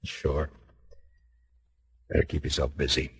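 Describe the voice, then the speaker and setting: An actor playing a stern, laconic American frontier marshal in a 1950s radio western, terse and grave. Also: male voice, rumbling low, little pitch movement, measured pace, slightly rough, heavily acted. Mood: grim, curt. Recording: noisy vintage radio recording with clicks.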